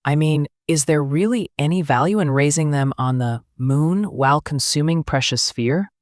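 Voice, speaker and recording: A woman's voice: low voice, moderate pace, a little mellow, no room sound, clean studio sound, close to an everyday voice. A woman playing a polite, bookish teenage girl novelist with a formal, matter-of-fact way of speaking, in an anime-style dubbed story. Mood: analytical, blunt.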